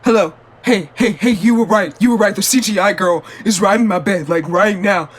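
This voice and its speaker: A man's voice: sits high, normal speed. An actor playing a panicked young man making an urgent phone call in an audio drama.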